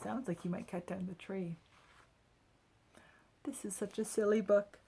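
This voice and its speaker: A woman reading a picture book aloud to children, with expressive dramatic emphasis and chatty asides to her listeners. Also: mid-range voice, unhurried, gravelly, a little hiss or hum.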